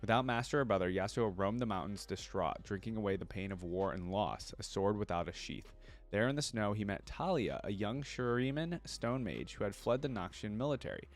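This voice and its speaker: A man's voice: on the low side, lively intonation, a little fast. A man hosting a reaction video, reading story text aloud and adding casual, exclamatory commentary. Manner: informal, exclamatory asides.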